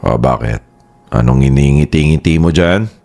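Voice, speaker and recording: A man playing a gravelly, deep-voiced father. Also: moderate pace, a little mellow. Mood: calm. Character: stern aging father softening toward sons. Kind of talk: radio drama acting.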